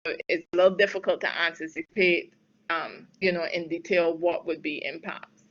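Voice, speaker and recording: A woman's voice: lowish voice, steady tone, normal speed, lo-fi sound, a little hiss or hum. A woman from Barbados answering audience questions on trade and health policy in a webinar, thinking aloud with fillers and firm emphasis at times. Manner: thoughtful, many fillers and restarts, emphatic in places. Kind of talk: spontaneous expert Q&A on a webinar.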